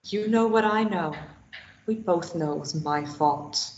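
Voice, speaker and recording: A woman's voice: lowish voice, unhurried, a little mellow, slight background noise, close to an everyday voice. A woman voicing a guilt-ridden, grieving former addict in a table read of a stage play, speaking in a bitter, subdued, self-blaming way. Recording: dull echoey room sound.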